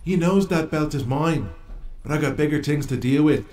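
An actor playing a cocky, territorial wrestler who trash-talks boastfully. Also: male voice, a little high, animated, normal speed, darkish tone, echoey room, lightly acted.

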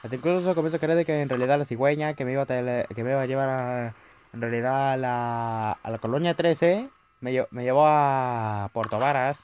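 A young man with a Chilean accent commentating a horror game, yelling at jump scares and rambling between them. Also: medium pitch, a little fast, smooth, lo-fi sound. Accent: Chilean Spanish. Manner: jumpy, shouting at scares, rambling asides. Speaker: gaming youtuber. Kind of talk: let's play commentary.

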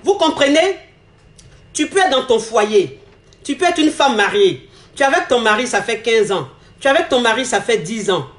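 A woman, a relationship coach speaking to her phone camera with emphatic repetition and a slightly hoarse, cold-touched voice. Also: fairly high pitch, sing-song, moderate pace. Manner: emphatic, repeats phrases, gestures a lot while talking. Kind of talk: animated advice monologue to camera. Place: indoor room. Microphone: phone camera at arm's length. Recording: echoey room on phone.

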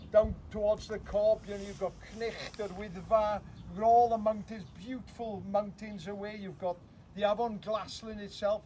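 A middle-aged Welsh man, a native Welsh speaker, giving a chatty, joking speech outdoors on a wooden platform, heard from a distant camera. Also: sits high. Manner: chatty, joking, self-interrupting. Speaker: guest opening a bird hide. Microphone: far camera. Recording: distant outdoor recording with loud noise.

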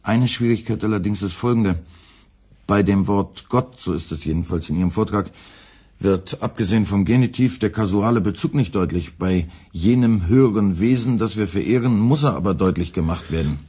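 A politely pedantic young editor in a radio drama. His voice is fairly low and slightly rough, and he spells out every detail with formal, needling courtesy. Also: dark tone. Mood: pedantic, formally polite. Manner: formal, meticulous, deliberately enunciated. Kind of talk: radio play dialogue.

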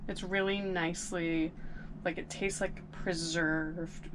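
A young woman sitting in a small boat cabin, casually reviewing craft beer with a relaxed, laughing manner. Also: on the low side, heavy background noise. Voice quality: relaxed, laughs often, drawn-out fillers.

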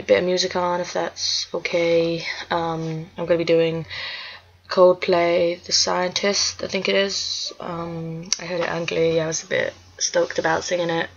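A young male speaks informally as he introduces his audition. His voice is light and high for a male. He is hesitant and apologetic, like an amateur feeling his way through. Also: completely flat delivery, normal speed, highs cut off.

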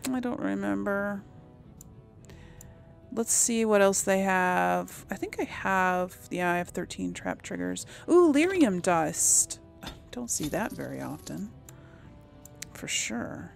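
A woman's voice: medium pitch, animated, creaky, a little mellow, faint music behind. A woman casually commenting on her gameplay, musing aloud and talking to herself. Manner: slow, musing, talks to herself. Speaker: gameplay host. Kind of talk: casual let's play commentary.

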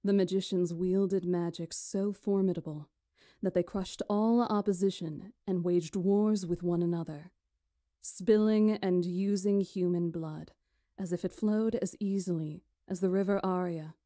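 A woman playing a stately magician in a visual novel, recounting history in a measured, formal, solemn way. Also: on the low side, fairly even intonation, mellow, no room sound, studio quality, close to an everyday voice. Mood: solemn, proud. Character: stately female magician elder recounting ancient history to lords. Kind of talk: visual novel character acting, storytelling.

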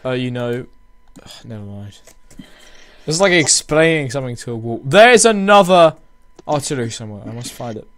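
A man's voice: medium pitch, lively intonation, moderate pace, a bit bright. A young man chatting casually over a game, dry and teasing, sometimes exasperated with his partner. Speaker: gamer. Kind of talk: casual gameplay chat. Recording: keyboard clicks clearly heard behind.

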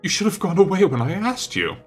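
A man voicing an earnest, indignant gentleman painter in an audiobook, speaking emphatically with emotion and reproach. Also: medium pitch, big pitch swings, normal speed, breathy edge, soft background music, voice pushed up. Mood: bitter, indignant.

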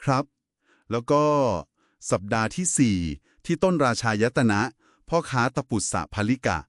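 Man, conversational explainer, polite and didactic, animated intonation, mid pitch, dry sound. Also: unhurried, dry recording. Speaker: explainer in a two-person discussion. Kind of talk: conversational explanation of Buddhist scripture.

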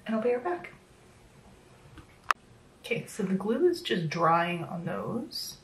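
A woman's voice: mid-range voice, very animated, slow pace. Thirties woman rambling thru a makeup tutorial, kinda losing her voice, echoey room, faint hum behind. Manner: chatty, self-deprecating, filler-heavy. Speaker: makeup vlogger. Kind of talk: casual makeup tutorial chat. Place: small home room, indoors. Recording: roomy echo, a bit distant from the mic.

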